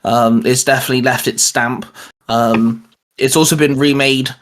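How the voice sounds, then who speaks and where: A man's voice: medium pitch, steady tone, normal speed, fairly bright tone. A man hosting a YouTube show, chatting casually about geeky favourites. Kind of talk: casual chat about cartoons.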